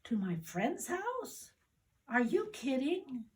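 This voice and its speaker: A woman's voice: high voice, very animated, slow pace, recorded from a distance, voice pushed up, heavily acted. An older woman voicing an anxious little boy in a storybook, with a hesitant, self-reassuring manner. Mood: indignant. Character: little boy anxious about sleeping without his teddy bear.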